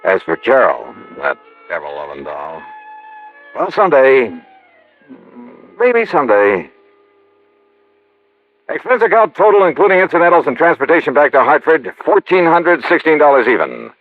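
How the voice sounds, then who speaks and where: An actor playing a wry, quick-witted American investigator, both narrating and acting in 1950s noir radio style. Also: male voice, mid-range voice, very animated, soft background music. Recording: noisy, dull vintage radio recording.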